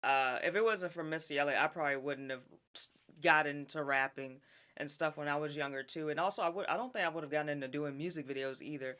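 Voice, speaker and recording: A man's voice: on the high side, fast, clear, resonant tone. A man interviewing a rapper, chatting casually and agreeably about making music. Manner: animated, agreeable, lots of "mm-hmm".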